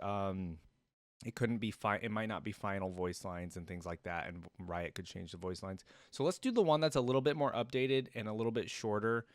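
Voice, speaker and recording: A man's voice: fairly low pitch, no echo. A man giving casual, rambling reaction commentary as a video host, thinking aloud. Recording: music playing behind.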